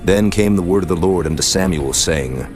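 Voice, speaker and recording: A man narrating scripture in a solemn, steady storytelling manner. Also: deep voice, moderate pace, soft background music.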